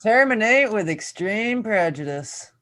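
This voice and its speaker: A man's voice: high voice, lively intonation, unhurried, fairly bright tone, no room sound. A man acting an angry, obsessive, ranting failed screenwriter in a screenplay table read. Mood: grimly determined. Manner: bitter, ranting, profane.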